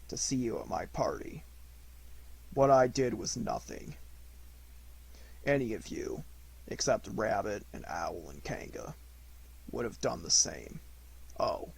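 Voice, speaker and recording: Man voicing a slow, gloomy old donkey. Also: on the low side, gravelly, darkish tone, slightly rough, full character voice, rough recording. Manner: slow, ponderous, grumbling. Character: gloomy old donkey, self-important and sarcastic.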